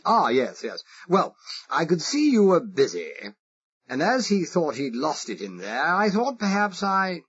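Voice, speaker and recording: A man's voice: on the high side, wildly swooping pitch, unhurried, fairly bright tone, slightly rough, pitched above the performer's own voice, full character voice. A man voicing a flustered, pompous upper-class Englishman with an exaggerated English accent. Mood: flustered posh. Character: posh Englishman feigning fussy secretary. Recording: dull lo-fi recording.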